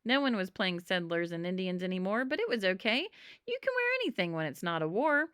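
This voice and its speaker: A woman reading a children's storybook aloud in an expressive narrating style. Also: mid-range voice, fairly quick, clean tone, dry recording, clean studio sound. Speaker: storybook reader.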